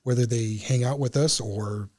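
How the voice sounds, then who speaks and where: A man speaking as an interview guest, explaining things in a halting way with pauses between phrases. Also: medium pitch, steady tone, a little mellow. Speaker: amateur radio club member, guest.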